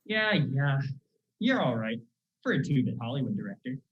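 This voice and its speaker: A teenage performer in a school comedy play, acting a manic, pushy aspiring actor who poses as a crazed gunman, with theatrical, excitable delivery. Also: medium pitch, normal speed, lightly acted. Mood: agitated. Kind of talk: school one-act comedy stage acting. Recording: muffled, dull school play recording.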